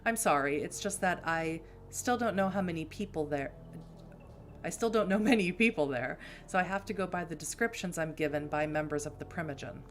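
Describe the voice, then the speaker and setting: A woman's voice: deep voice, brisk, soft background music, natural voice. A woman voicing a brusque, blunt young woman in a story game, with an American accent. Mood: flustered. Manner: curt and brusque. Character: gruff blunt woman, a brash young outsider.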